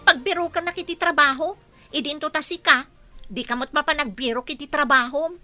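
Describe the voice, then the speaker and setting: A woman's voice: sits high, brisk, sharp tone, no echo, soft background music. A woman playing a cheeky, lazy young daughter in an Ilocano comedy radio drama, whining and arguing with an Ilocano Filipino accent. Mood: whiny, defiant.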